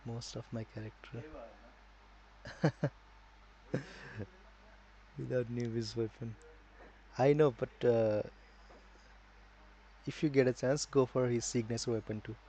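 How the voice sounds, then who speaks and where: A male live streamer with a Nepali accent and a dark, mellow, mid-pitched voice. He gives casual gaming commentary in a halting way, thinking aloud as he talks to viewers. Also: fairly even intonation, slight background noise, low-quality recording.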